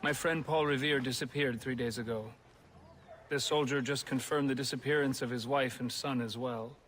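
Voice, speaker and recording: An actor playing an articulate, formal and earnest revolutionary gentleman in game drama dialogue. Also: mid-range voice, steady tone, moderate pace, slight background noise, natural voice, low-quality recording. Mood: grave, resolute.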